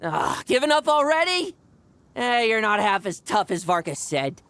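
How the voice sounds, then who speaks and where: A woman voicing an energetic, cocky young male knight in a video game. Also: mid-range voice, normal speed, dry recording. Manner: energetic, cocky, laughs easily.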